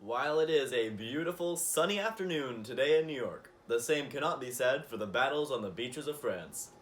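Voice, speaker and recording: A man's voice: medium pitch, animated, moderate pace, a bit bright, soft background music, lightly acted. A man playing a formal public news presenter, reading scripted introductions. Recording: faint background noise.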